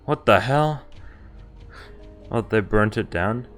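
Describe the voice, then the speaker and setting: A man with a fairly low voice narrates a visual novel as he streams it. He slips between reading the on-screen text and commenting casually in his own words, with faint music playing behind him. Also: expressive, slow, a bit bright. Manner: reacts with asides between read lines. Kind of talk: reading game text aloud with casual reactions.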